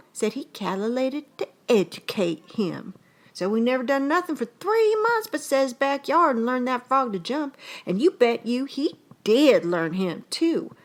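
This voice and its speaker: A woman's voice: fairly high pitch, fairly bright tone. A woman performing a comic short story as a rambling, folksy old-time yarn-spinner, with an earnest, conversational manner. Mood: excited, admiring. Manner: folksy, drawn-out, conversational storytelling in rustic dialect. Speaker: actor reading aloud.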